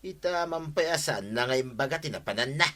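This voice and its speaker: A man's voice: on the high side, lively intonation, moderate pace, fairly bright tone. An actor playing an irritated, blustering village man in an Ilocano radio comedy drama.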